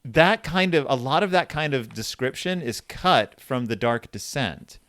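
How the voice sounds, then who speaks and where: A man hosting a casual discussion, retelling stories and reading passages aloud in an animated storyteller's manner. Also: mid-range voice, measured pace, dry recording, studio quality. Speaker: podcast host discussing horror fiction.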